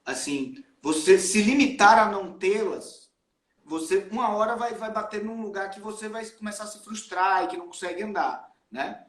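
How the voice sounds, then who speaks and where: A man's voice: high-pitched, moderate pace, a bit bright. A Brazilian man hosting a music live stream, chatting casually and telling stories with plenty of slang. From Salvador, Brazil. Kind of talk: casual chat. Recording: roomy, echoey live stream sound.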